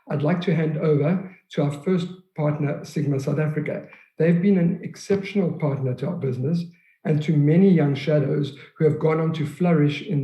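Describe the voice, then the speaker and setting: A man's voice: on the high side, mellow. A man presenting at a conference, introducing partners in an earnest, prepared way with occasional fillers. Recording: roomy echo.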